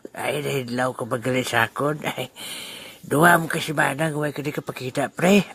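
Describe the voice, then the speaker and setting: A man's voice: mid-range voice, steady tone, normal speed, gravelly, a bit bright, slightly rough. A man playing a sly old man who hides his food in an amateur audio drama, chuckling and hemming often. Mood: playful. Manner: mischievous, chuckling, hesitant fillers.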